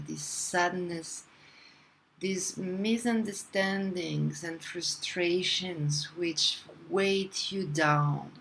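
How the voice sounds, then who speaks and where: Woman, guided meditation, extremely unhurried, soothing, long pauses, slightly low pitch, ambient background noise. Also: creaky, fairly bright tone, low-quality recording.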